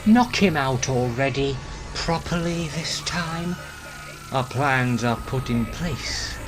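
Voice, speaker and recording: Man playing a slow, theatrical menacing arch-villain. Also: medium pitch, natural voice. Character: menacing, humourless male time-travelling arch-villain, the Master. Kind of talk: audio drama acting. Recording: loud music under voice.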